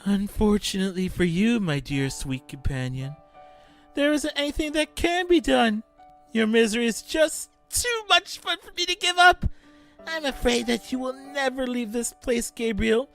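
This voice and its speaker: A man playing a sadistic, gleeful spirit in a fan audio drama, taunting theatrically with drawn-out mockery. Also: very high voice, expressive, a bit bright, full character voice. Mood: gloating. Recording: music under the voice.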